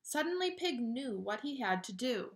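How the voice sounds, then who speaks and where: A woman reading a children's picture book aloud with expressive, dramatic storytelling delivery. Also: a little high, normal speed.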